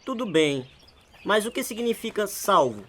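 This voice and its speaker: Troubled hitchhiker, high voice, humble, polite, earnest, radio drama. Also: male voice, big pitch swings, measured pace, heavily acted. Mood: polite, curious. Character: humble, troubled male hitchhiker seeking salvation.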